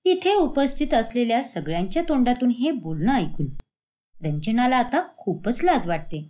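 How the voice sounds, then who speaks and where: Female storyteller for scripted family tales, high voice, animated melodic delivery. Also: normal speed.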